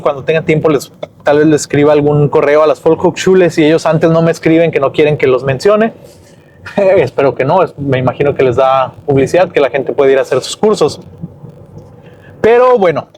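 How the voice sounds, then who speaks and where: A man's voice: a little high, darkish tone, a little hiss or hum. Chatty man teaching on the walk. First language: Spanish. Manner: rambling, self-correcting, chatty. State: dry-mouthed. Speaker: German teacher and vlogger. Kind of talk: casual spoken lesson while walking home. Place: outdoors on the way home.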